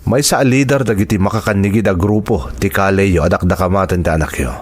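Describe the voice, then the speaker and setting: A steady officer of the law in an Ilocano radio drama. His voice is on the low side, with an Ilocano accent. He speaks in a formal, measured, reassuring way. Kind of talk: Filipino radio drama acting.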